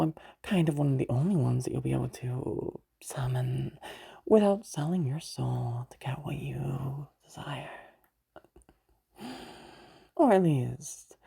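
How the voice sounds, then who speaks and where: A woman playing a sly, teasing female demon of lust in an audio roleplay, bargaining patiently in a breathy, creaky voice with halting pauses and whispers. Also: extremely low pitch, lively intonation, dark tone, heavily acted. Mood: smug, bargaining.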